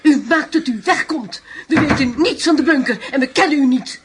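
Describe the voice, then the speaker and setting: An actress in a radio drama playing an anxious, protective wife with a curt, guarded manner. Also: female voice, high-pitched, normal speed, background noise, natural voice. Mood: alarmed, agitated.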